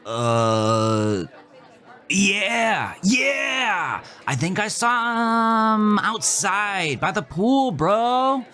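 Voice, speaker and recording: A dopey, drunk teenage party stoner, pitched very high and bursting with excitement. He whoops his slack bro talk in a horror audio story. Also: drawn-out words, some chatter behind, heavily acted. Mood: dim, eager.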